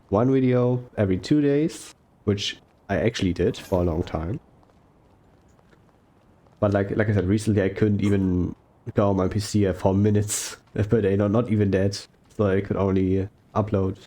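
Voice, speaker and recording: A young man talking slowly and softly over gameplay, rambling with fillers and self-corrections. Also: fairly low pitch. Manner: slow, soft-spoken, unhurried. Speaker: gaming YouTuber. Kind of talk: relaxed gameplay commentary.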